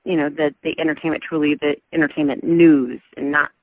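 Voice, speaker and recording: A woman from the American South with a softened Southern accent, an entertainment reporter chatting as a guest in a radio interview, upbeat and full of fillers. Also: low voice, flat delivery, brisk, no echo, lo-fi sound. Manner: chatty, upbeat, laughs often, many fillers.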